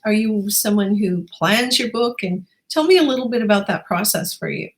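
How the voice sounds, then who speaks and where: A woman's voice: medium pitch, lively intonation, normal speed. A woman hosting a book show, introducing her guest and asking questions in a conversational interview style. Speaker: talk show host interviewing an author.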